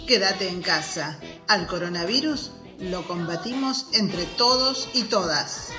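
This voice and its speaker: A woman's voice: lowish voice, animated, takes it slowly. A woman presenting a radio programme from a script. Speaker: radio host. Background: music.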